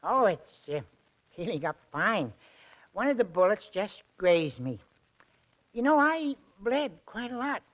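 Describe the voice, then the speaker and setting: An actor in a 1940s radio drama playing a timid, boastful, hesitant and naive detective. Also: male voice, high voice, sing-song, a little slow, fairly bright tone, highs cut off, full character voice. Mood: earnest, hesitant. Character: timid, boastful male detective, sweet and hapless.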